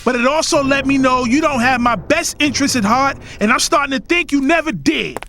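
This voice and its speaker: A man's voice: extremely high pitch, fairly quick, vocal fry, pushed and bright, faint music behind, full character voice. A man acting as a defiant, protective young adult son of a boss in an audio drama. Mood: defiant, heated. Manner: assertive, emphatic. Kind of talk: dramatized first-person audio drama narration and dialogue.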